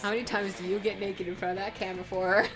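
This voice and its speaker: A woman's voice: medium pitch, flat delivery, fast, over music. A woman in casual gaming banter, teasing sarcastically. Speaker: let's play co-host.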